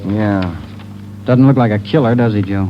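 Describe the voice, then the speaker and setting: An actor playing a chatty police fingerprint clerk in a 1950s radio drama, talking casually. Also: male voice, lowish voice, normal speed, natural voice. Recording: muffled old radio recording with music.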